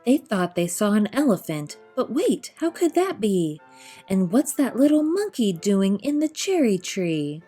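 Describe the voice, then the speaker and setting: A woman storyteller reading a rhyming children's picture book aloud, expressively, in measured rhythmic verse. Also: mid-range voice, big pitch swings, dark tone, breathy edge, faint music behind.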